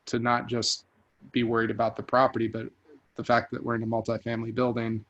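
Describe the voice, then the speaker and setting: A vacation rental host on a group call, telling a story at a measured pace with frequent restarts. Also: male voice, medium pitch, monotone, fairly bright tone, highs cut off. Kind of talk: casual group call chat. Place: online group video call.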